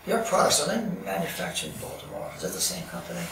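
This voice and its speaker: A man's voice: sits high, wildly swooping pitch, quick pace, gravelly. A man in an audience casually asking questions, picked up from a distance in a very echoey room. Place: indoor lecture room, in audience. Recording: very echoey room, distant mic, faint noise.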